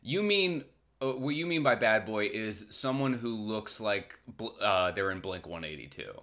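Forceful, low-voiced American man riffing casually. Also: animated, unhurried. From Massachusetts, United States. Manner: rambling, irreverent, crude, sardonic. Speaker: solo podcast host. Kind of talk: casual comedic monologue.

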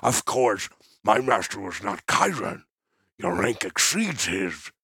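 A man voicing a monstrous, servile mercenary beast in a fantasy audiobook, with a buzzing, gravelly, husky voice. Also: on the low side, very animated, takes it slowly, bright, forceful tone, dry recording, studio quality, exaggerated voice. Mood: servile pleading. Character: monstrous insect-jawed male mercenary beast, grovelling before master.

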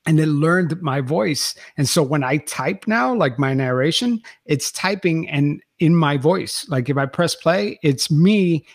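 A man's voice: a little high, expressive, a little slow, few pauses, no room sound, studio quality. A man, a podcast producer, chatting enthusiastically as an interview guest, laughing often and restarting his sentences. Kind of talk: casual interview chat.

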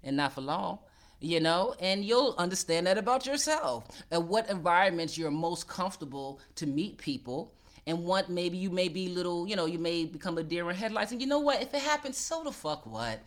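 A woman's voice: lowish voice, expressive, brisk. A woman co-hosting a casual advice chat, candid and laughing often, swearing freely and stretching out words for emphasis.

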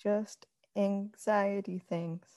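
A woman's voice: mid-range voice, fairly even intonation, extremely slow, mellow, dry recording. A young adult woman chatting informally as a podcast co-host, with a wry, teasing way of talking. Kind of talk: casual banter between siblings.

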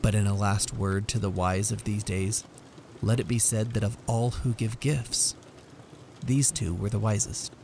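A man giving a measured, theatrical reading of a story as scripted narration. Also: lowish voice, fairly even intonation, darkish tone, slight background noise. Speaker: story reader.

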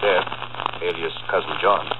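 A private detective in a vintage radio mystery. His low voice is laconic and matter-of-fact, coming through a narrow, heavily crackled recording. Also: fairly even intonation, a little slow. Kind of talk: old-time radio mystery acting. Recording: noisy, narrow-band old radio recording.

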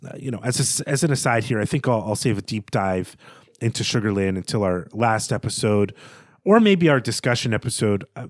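A man's voice: fairly low pitch, no echo, clean studio sound. A man speaking as a podcast host in conversational literary analysis, thoughtful and articulate, with hesitant stammered repeats. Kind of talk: literary analysis in conversational monologue, with quoted passages.

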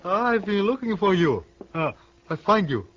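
Excitable high-pitched Chinese hotel bellboy. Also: male voice, measured pace, exaggerated voice. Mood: eager, cheeky. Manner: broken English, eager singsong delivery. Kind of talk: 1950s radio western acting. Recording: muffled old radio broadcast.

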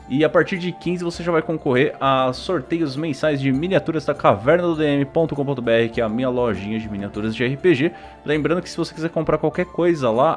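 Casual Brazilian man hosting a podcast, music behind. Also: medium pitch. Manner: informal, slangy. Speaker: podcast host.

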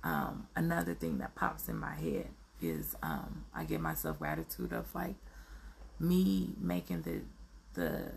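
A woman with a raspy, allergy-hoarse voice, speaking in an earnest, self-affirming solo monologue and often pausing to search for words. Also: extremely low pitch, huge pitch swings, a little hiss or hum.

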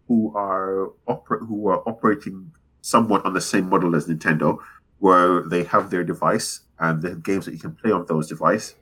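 A man's voice: low voice, fairly bright tone, breathy edge, rough recording. A man co-hosting a casual gaming podcast, rambling and analytical as he works through his points with many restarts. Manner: rambling, self-correcting, analytical. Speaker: podcast co-host.

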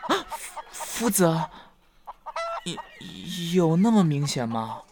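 A man playing a shy, naive young fox spirit in human form in a period romance audio drama, soft and hesitant, stammering when flustered. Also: fairly high pitch, big pitch swings, takes it slowly. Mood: embarrassed.

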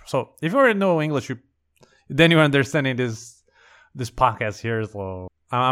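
Man with a Brazilian Portuguese accent. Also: medium pitch. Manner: conversational, thinking aloud. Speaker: podcast host. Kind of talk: casual language-learning podcast monologue.

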